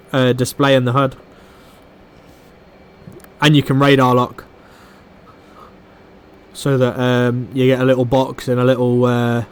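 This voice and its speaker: A man on a headset, casually teaching flight-sim controls step by step, his throat getting sore. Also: medium pitch. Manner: informal, explains controls step by step, says mate. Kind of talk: casual gaming chat.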